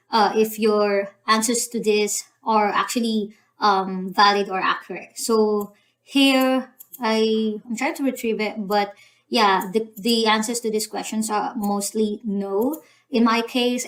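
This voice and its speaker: Young filipino woman, chatty tutorial voice, lots of ums and you knows, middle pitch. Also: steady tone, measured pace, rough recording. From Philippines. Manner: hesitant, full of "um" and "you know" fillers. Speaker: channel host walking through a form. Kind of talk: casual screen-recorded tutorial.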